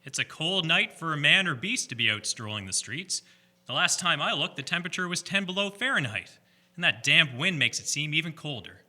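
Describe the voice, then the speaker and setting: Hearty male constable for radio play, very sharp bright tone, animated man acting. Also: on the high side, fairly quick, full character voice. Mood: friendly concern. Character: small-town police constable, hearty, dutiful man.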